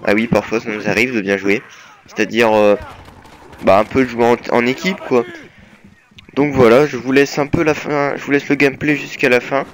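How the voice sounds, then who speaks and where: A man's voice: medium pitch, fairly even intonation, normal speed. A young man with a slight cold, giving a hesitant, filler-heavy voice-over that presents his gaming team. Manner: hesitant, many fillers and restarts. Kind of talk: casual voice-over over gameplay.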